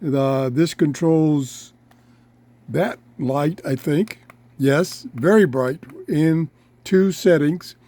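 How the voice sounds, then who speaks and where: Man, slow pace, slightly high pitch, casual product review, halting piecemeal phrasing, show host. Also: dark tone, dry recording.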